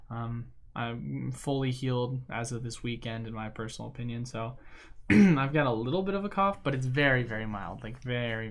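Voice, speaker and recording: A young man hosting a livestream, chatting casually and rambling with lots of fillers and slang while he plays a game. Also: medium pitch. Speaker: livestream host. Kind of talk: casual chat while gaming.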